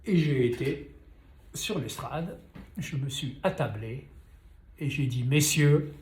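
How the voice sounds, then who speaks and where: A man's voice: medium pitch, animated, slow pace, a little hiss or hum. An older man, a painter and sculptor, telling a story and talking straight to viewers in a chatty, ironic way. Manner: chatty, ironic asides, addresses viewers directly. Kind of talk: storytelling talk to camera. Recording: echoey room.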